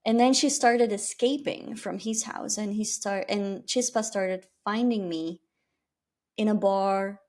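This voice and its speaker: A woman's voice: medium pitch, slow. Candid Flemish-accented woman podcaster. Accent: Flemish Belgian. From Belgium. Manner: candid and reflective, with lively rises. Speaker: podcast host. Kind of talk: personal storytelling monologue.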